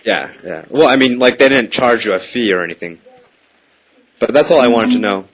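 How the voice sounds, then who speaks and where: A man's voice: medium pitch, lively intonation, fairly quick, fairly bright tone, poor audio. A man calling on the phone with casual, meandering questions, hesitant in delivery but persistent. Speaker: prank caller. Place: phone call.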